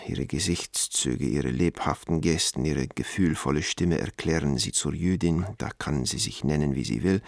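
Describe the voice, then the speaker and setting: A low-voiced, worried art dealer. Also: male voice, gravelly, no room sound, clean studio sound, exaggerated voice. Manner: earnest, measured, literary. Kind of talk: radio play letter monologue.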